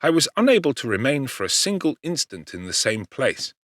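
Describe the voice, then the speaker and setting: Expressive male audiobook narrator with lively pitch. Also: dry recording, studio quality. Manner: measured, expressive, articulate. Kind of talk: dramatic literary narration of a gothic novel.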